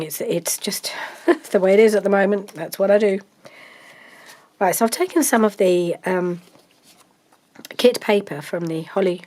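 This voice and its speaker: A woman's voice: fairly low pitch, a little mellow. Congested woman chatting while crafting. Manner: chatty, rambling with many ums and restarts. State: congested with a cold, coughing. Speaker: craft vlogger. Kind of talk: casual crafting chat.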